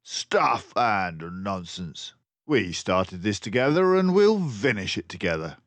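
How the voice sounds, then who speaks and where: Man voicing an earnest, lively mouse sidekick. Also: on the low side, takes it slowly, no echo, pitched above the performer's own voice, exaggerated voice. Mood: resolute. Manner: earnest, emphatic. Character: loyal, stout-hearted male mouse companion of a detective. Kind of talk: storybook character voice.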